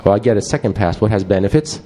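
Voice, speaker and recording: A man with a Swedish accent gives a live talk to an audience. His voice is low and mellow, and he rambles loosely, restarting sentences and dropping dry asides. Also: animated, rapid-fire, a little hiss or hum. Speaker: conference presenter.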